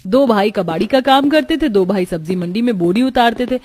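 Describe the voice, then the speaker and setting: A woman's voice: on the high side, lively intonation, a little fast, a little mellow. A woman storyteller reading scripted narration for a moral tale.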